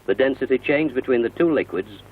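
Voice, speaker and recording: British guy doing old 1960s newsreel narration, formal and measured, bit husky, tinny narrow old film sound. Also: medium pitch, expressive. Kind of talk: 1960 newsreel scripted narration. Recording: muffled, narrow-band vintage film soundtrack.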